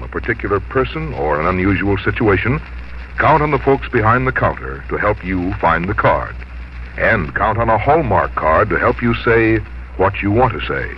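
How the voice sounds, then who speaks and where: Low-voiced, animated vintage radio announcer, male. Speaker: announcer reading sponsor message. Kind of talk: scripted 1950s radio announcing. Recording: muffled, hissy 1950s radio broadcast.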